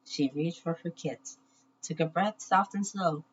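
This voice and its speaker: A woman reading a children's story aloud in measured short phrases with pauses, on a muffled, noisy recording. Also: sits low. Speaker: children's book reader.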